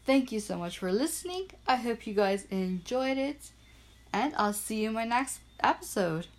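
A young woman from Bermuda hosting her own small podcast, chatting in an upbeat, self-deprecating way and spelling things out slowly. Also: fairly high pitch, animated. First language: Portuguese and English bilingual. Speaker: podcast host. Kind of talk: casual solo podcast chat. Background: frogs and crickets at night.

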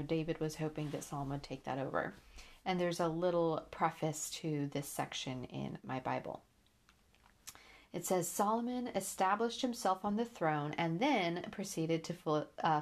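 A woman with a stuffy nose from allergies, hosting a Bible podcast, reading scripture aloud and then reflecting on it earnestly, with frequent restarts. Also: low voice.

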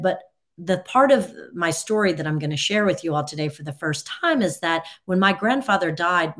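Expressive woman telling personal stories. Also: low voice. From United States. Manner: expressive, acts out quoted dialogue. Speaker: interview guest, university teacher. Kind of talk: personal storytelling in conversation.

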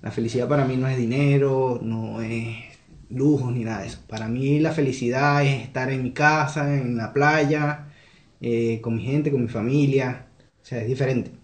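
A man's voice: mid-range voice, fairly even intonation, normal speed, reverberant. A young man from Venezuela with a Venezuelan accent, answering interview questions in an earnest, reflective way. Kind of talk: conversational interview.

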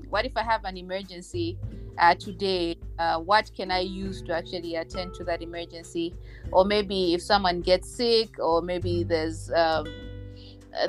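A woman in her thirties from Malawi, a finance executive, explaining things at a measured pace as a podcast guest, with many ums and uhs. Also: fairly low pitch. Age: 30s. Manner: measured, explanatory, with frequent fillers. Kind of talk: podcast interview.

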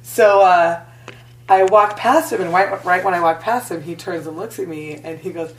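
A woman's voice: on the low side, normal speed. A young woman comedian from Las Vegas, chatting casually on a podcast and laughing through her stories. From Las Vegas, USA. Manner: laughs often, animated storyteller. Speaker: comedian guest. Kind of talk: casual podcast chat, storytelling. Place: hotel room. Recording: echoey room.

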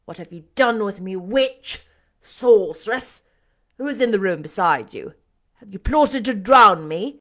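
An imperious storybook gentleman, acted out by a woman reader, irritable and blustering. He gives orders and questions with emphatic, commanding force. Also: medium pitch, a little slow. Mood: angry, startled. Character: imperious, irascible gentleman roused from sleep.

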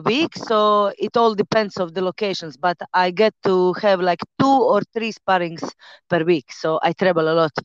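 A woman in her thirties from Croatia with a Croatian accent, a professional fighter and trainer chatting as a podcast guest, relaxed and quick to laugh, pausing often on "uh". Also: mid-range voice, smooth, dry recording, lo-fi sound. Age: 30s. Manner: relaxed, laughs easily, many "uh" pauses in English. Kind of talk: casual interview chat.

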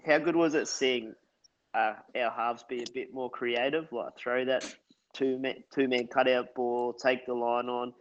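A man's voice: medium pitch, fairly even intonation, unhurried. A man talks keenly on a podcast, repeating phrases as he builds his point. His sound comes through dull and lo-fi. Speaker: podcast co-host. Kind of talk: casual podcast chat.

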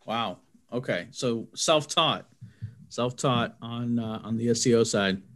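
Male podcast host, relaxed and friendly, easygoing questions. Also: mid-range voice, takes it slowly. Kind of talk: casual podcast interview.

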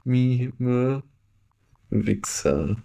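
A young man in his twenties talks as a podcast co-host. His delivery is informal and jokey, with plenty of slang, in a middle-pitched voice. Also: expressive, drawn-out words, creaky, warm tone. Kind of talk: casual comedic podcast chat.

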